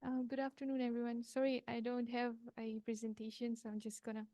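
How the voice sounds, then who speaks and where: A woman's voice: on the high side, completely flat delivery, a little fast, warm tone. A woman from Fiji, a project coordinator, giving a workshop talk without slides in a hesitant way with many fillers.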